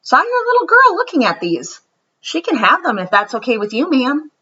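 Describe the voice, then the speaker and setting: Woman reading as a kind polite man in a picture book, bright, reassuring, bit muffled. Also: sits high, sing-song, normal speed, pitched above the performer's own voice, full character voice. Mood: kindly. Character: kindly, grateful man at a pantry desk.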